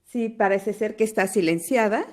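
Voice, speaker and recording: An Argentine woman hosting a live online webinar over a video call, greeting attendees one by one in an unhurried way. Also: medium pitch, darkish tone. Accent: Argentine Spanish. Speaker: webinar host.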